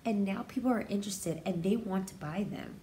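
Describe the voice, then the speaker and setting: A woman's voice: medium pitch, brisk, mellow, slight background noise. A woman teacher doing a picture-book read-aloud, expressively explaining words and feelings in simple terms for young children. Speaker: pre-K teacher reading aloud.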